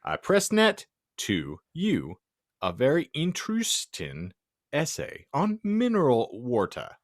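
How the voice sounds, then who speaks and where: A man's voice: medium pitch, wildly swooping pitch, takes it slowly, voice pushed up. A man voicing a bratty, foul-mouthed teenage goth girl in a comedic fan fiction reading, slangy, indignant and melodramatic. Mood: gleeful taunting.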